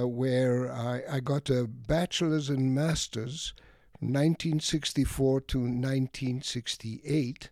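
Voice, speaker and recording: An elderly man from Egypt and Greece, an academic guest reflecting on his life in a halting, deliberate way with many pauses. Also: medium pitch, warm tone, no room sound, studio quality. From Egypt and Greece, of Greek parents. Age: late 70s or older. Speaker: interview guest, economics academic. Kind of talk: reflective autobiographical interview talk.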